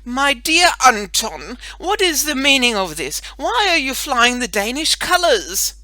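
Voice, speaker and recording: A storybook reader voicing a grand, fussy lady guest who calls out loud and indignant. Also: high-pitched, big pitch swings, measured pace, dry recording, voice pushed up, full character voice. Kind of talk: storybook reading.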